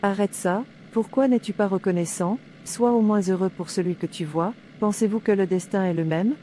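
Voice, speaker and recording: A woman voicing a pious, calm young woman in a cartoon drama, preachy and stilted. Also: medium pitch, moderate pace, slight background noise, natural voice. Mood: earnest, admonishing.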